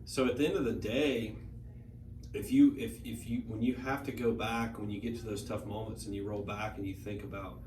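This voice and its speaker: Rambling thirty-something man, low voice, echoey room. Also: fairly even intonation, moderate pace, a little mellow. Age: 30s. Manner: rambling, many restarts and repeated words. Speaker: guest, brewery owner. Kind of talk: casual interview chat. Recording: echoey room, noisy, mic at a distance.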